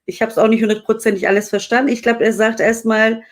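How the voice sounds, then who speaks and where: A woman's voice: a little high, flat delivery, fast talker. A woman from Dersim hosting a live online interview, chatting casually with many fillers and restarts. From Dersim, Turkey. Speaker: host.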